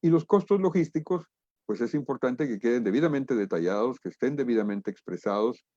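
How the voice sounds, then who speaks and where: A middle-aged Guatemalan man lectures in a deliberate, emphatic way, as if leading an online seminar. His pitch sits in the middle for a man. The sound is close and dry, with slightly muffled, lo-fi webinar audio. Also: lively intonation, mellow, no echo. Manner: deliberate, emphatic, rhetorical questions. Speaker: international trade lecturer and expert.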